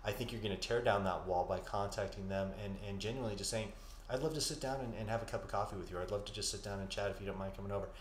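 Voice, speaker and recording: A man with a fairly low voice talks through psychic impressions, pausing often with fillers before reassuring his listeners. The sound is roomy and echoey, with a faint steady noise underneath. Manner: hesitant, filler-heavy, reassuring. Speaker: psychic medium podcast co-host. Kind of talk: live-stream psychic readings and chat. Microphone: studio mic.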